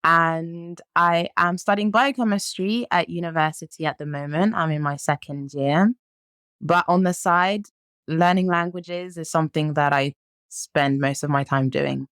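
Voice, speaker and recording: A woman's voice: on the low side, a little slow, a bit bright, clean tone, no room sound, clean studio sound. A nineteen-year-old woman, a native French speaker from France, chatting as a podcast guest, laughing often and using lots of ums. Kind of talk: casual interview chat.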